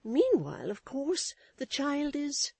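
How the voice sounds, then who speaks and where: A woman's voice: wildly swooping pitch, slow, gravelly, mellow, no room sound, exaggerated voice. A woman voicing a middle-aged wife who scolds her husband with withering, self-righteous indignation. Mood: fretful. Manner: high-pitched, withering, sharply emphatic.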